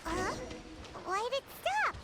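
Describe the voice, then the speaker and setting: A woman voicing a small, excitable, feisty childlike floating companion in a video game, with a squeaky, exclamatory delivery. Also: measured pace, background noise, exaggerated voice. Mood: surprised. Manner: exclamatory, bossy.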